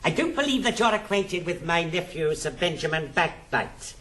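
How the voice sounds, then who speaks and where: High-voiced, pompous English old gentleman. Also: big pitch swings, moderate pace. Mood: boastful, coaxing. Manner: effusive, pompous. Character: boastful, gossipy old uncle, foppish gentleman. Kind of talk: theatrical period stage comedy acting. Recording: 1960s studio recording with hiss.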